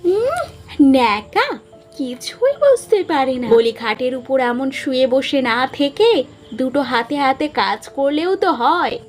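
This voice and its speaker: A hot-tempered storybook queen acted out by a woman scolds and schemes in a high voice. Her delivery is unhurried, sneering and indignant. Music plays behind her. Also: big pitch swings. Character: spiteful, hot-tempered younger queen, jealous scheming stepmother. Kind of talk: Bengali fairy-tale cartoon acting.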